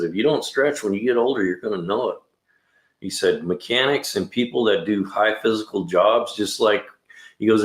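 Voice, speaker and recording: A man in his sixties hosting a casual livestream chat, laid-back and rambling, often saying "man" and "you know.". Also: deep voice. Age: 60s. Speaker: livestream host and mechanic. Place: garage. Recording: echoey room.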